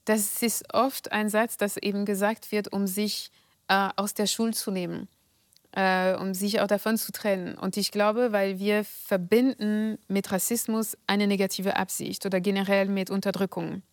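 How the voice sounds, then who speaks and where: A woman from France speaking German with a French accent, giving measured, hesitant interview answers. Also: mid-range voice, level tone, dry recording, studio quality. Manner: measured and deliberate, with frequent hesitations. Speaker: guest.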